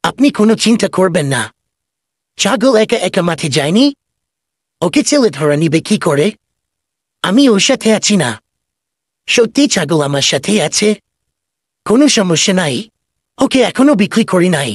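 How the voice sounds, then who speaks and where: A man's voice: high voice, big pitch swings, a little slow, pauses often, breathy edge, no room sound, clean studio sound, full character voice. A man voicing a sly, glib goat thief and swindler in a comedy cartoon. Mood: glib, persuasive. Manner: glib, wheedling salesman patter.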